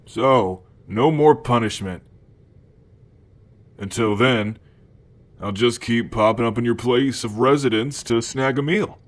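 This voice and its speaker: A man's voice: mid-range voice, slow, pauses often, close to an everyday voice. A man playing a cocky, food-obsessed male demon in an ASMR roleplay, talking to the listener in a casual, hesitant slacker drawl. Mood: fond, mischievous.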